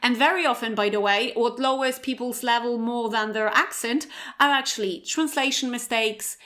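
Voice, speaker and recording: A woman with a Polish accent and a fairly high voice hosts a solo English-teaching podcast. She talks in a chatty, conversational way and keeps checking in with the listener with "right?" and "okay?". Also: moderate pace, bright, forceful tone. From Poland. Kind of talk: solo educational podcast monologue.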